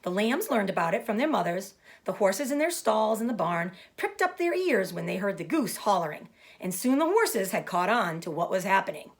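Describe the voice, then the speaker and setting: A woman giving an expressive storybook read-aloud for children, pausing to explain things simply. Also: medium pitch. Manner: explanatory, addressing young listeners. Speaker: storybook reader.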